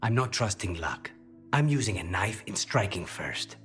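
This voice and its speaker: An actor playing a brooding, devoted assassin in a video game, weary and intimate, often speaking close to a whisper. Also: male voice, on the low side, animated, moderate pace. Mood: grim, resolute. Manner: hushed, breathy, often near whispering. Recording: faint music underneath.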